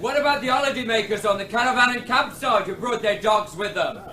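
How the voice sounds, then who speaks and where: A man's voice: sits high, a little fast, pushed and bright. An actor playing an exasperated townsman objecting at a public meeting in a vintage radio drama. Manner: protesting, objecting.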